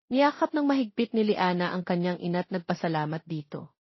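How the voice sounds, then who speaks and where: A woman's voice: lowish voice, animated, a little fast, slightly rough, no echo. A woman narrating a dramatic story from a script as a voice-over. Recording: dull lo-fi audio, very dry.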